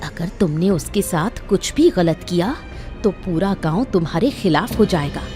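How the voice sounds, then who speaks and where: A woman's voice: on the low side, expressive, measured pace, over music. A woman voicing a resentful, scheming wife who nags her husband in a cartoon story. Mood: doubtful. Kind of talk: Hindi cartoon moral story acting.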